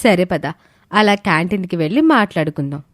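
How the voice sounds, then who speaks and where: A woman's voice: fairly low pitch, lively intonation, fairly quick, no room sound, studio quality, close to an everyday voice. A woman voicing a caring, encouraging teacher in a dramatised moral story, kindly and reassuring.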